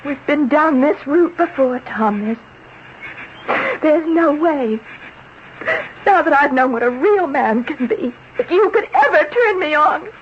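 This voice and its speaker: Wounded proud young woman, high voice, radio melodrama, muffled noisy old recording. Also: expressive, measured pace. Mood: angry, scornful. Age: young adult. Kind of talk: old-time radio drama acting.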